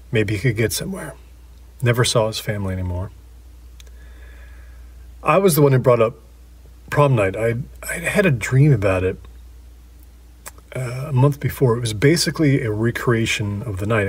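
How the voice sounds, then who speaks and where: An actor playing a middle-aged man in an audio drama, thoughtfully recalling an old friend's haunting in a halting, conversational way. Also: mid-range voice, husky, lightly acted. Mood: wry, reminiscing. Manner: halting, thoughtful storytelling with trailing pauses. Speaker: actor playing a documentary interview subject.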